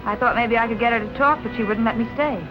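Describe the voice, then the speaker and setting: Casting: actress for young female amateur sleuth, vintage film noir, sincere and concerned, over clear background music. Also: fairly high pitch, fast talker, lightly acted. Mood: earnest, explaining. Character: earnest, worried young woman amateur sleuth. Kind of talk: 1940s film noir acting. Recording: muffled old film soundtrack, music underneath.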